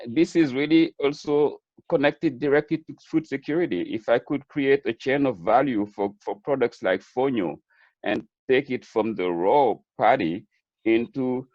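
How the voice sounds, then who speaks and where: A man's voice: medium pitch, moderate pace, a little mellow, no room sound, lo-fi sound. A middle-aged man with a Senegalese accent gives an earnest, reflective interview answer, repeating words as he builds his points. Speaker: webinar guest, chef and food entrepreneur.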